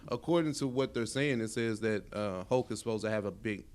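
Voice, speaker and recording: A man's voice: lowish voice, fairly quick, darkish tone, smooth. A man co-hosting a casual chat podcast, opinionated and slangy, swearing freely and repeating his points for emphasis. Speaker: podcast co-host. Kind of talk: casual chat about superhero films and shows.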